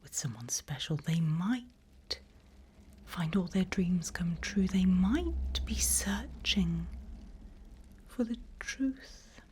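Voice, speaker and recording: A woman voicing a seasoned, smug and teasing demon mentor in a breathy, theatrical ASMR whisper. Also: fairly low pitch, animated, a little mellow, slight background noise. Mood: enticing. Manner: slow, drawn-out, theatrical.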